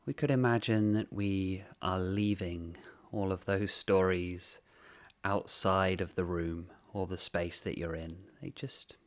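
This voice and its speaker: Very slow, low-voiced man guiding meditation. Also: fairly even intonation. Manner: gentle, unhurried, with long pauses between short phrases. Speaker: meditation guide. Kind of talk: guided mindfulness meditation.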